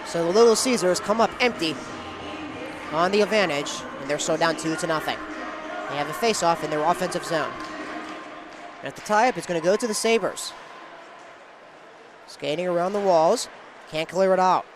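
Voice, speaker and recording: A high-pitched male sportscaster delivers live play-by-play in short, clipped phrases. He follows the action moment by moment, with some venue noise behind his voice. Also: moderate pace, long pauses.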